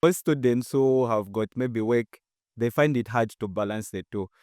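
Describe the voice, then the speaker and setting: A man hosting a podcast interview, asking questions in a relaxed way and affirming with short "yeah" responses. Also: medium pitch, moderate pace, no echo, clean studio sound. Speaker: podcast host.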